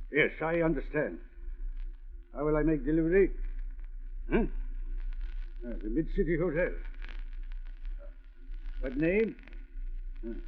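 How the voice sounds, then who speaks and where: A narrow, tinny vintage radio recording carries a stoutish, self-important gentleman. His voice is rough-edged and husky, his talk genteel and pompous with small hesitations, in 1940s radio-drama style. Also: a little high. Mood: furtive, businesslike.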